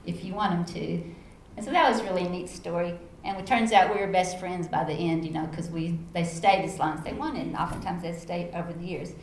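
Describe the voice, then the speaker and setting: Texan woman telling classroom stories. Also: on the low side. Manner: animated storyteller, acts out her own quoted lines. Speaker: panel speaker, educator. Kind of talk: panel talk, personal storytelling. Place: conference room. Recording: echoey room, faint background noise.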